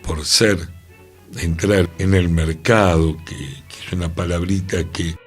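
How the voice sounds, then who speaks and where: A man talks slowly, low and a little husky, on a studio radio show. He muses out loud, circling back and restarting his phrases as he works through an idea. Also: steady tone, soft background music. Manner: musing, thinking aloud with restarts. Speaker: radio host. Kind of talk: reflective radio discussion.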